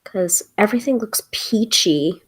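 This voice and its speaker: A woman's voice: medium pitch, expressive, unhurried. Congested chatty woman on a live stream. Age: 40s. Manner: chatty, laughs often, many ums. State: congested, froggy voice. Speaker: tarot reader hosting a live show. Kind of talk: casual live-stream chat.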